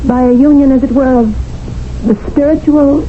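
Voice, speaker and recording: An actress playing a prim, earnest English spinster in colonial India who talks in a stiff, precise way. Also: female voice, high-pitched, steady tone, moderate pace, close to an everyday voice. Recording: dull 1970s radio broadcast with faint noise.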